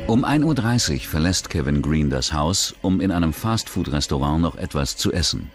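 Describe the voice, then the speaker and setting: Male documentary narrator, deep register, expressive intonation, scripted crime storytelling. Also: normal speed. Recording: music bed under narration. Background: music.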